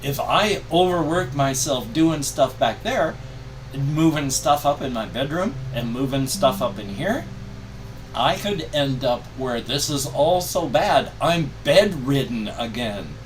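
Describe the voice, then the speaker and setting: A man's voice: mid-range voice, animated, a little slow, a little hiss or hum. An older man talking in a casual personal vlog, rambling and stressing his words emphatically. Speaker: video creator. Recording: distant mic, music behind. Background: music.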